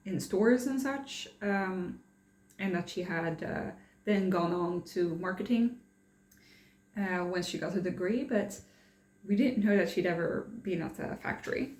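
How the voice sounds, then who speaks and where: Slow, rambling young woman vlogger. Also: on the low side, darkish tone, reverberant. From France. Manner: rambling and hesitant, many fillers and restarts. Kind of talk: casual personal update.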